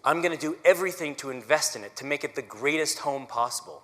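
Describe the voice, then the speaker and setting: Guy in his 30s talking earnestly to a crowd, bit higher voice, big echoey auditorium. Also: expressive, fast talker, a bit bright. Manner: earnest, conversational, frequent "right?" asides. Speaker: symphony conductor giving a community talk. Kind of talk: personal public talk. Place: auditorium stage. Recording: long hall reverb.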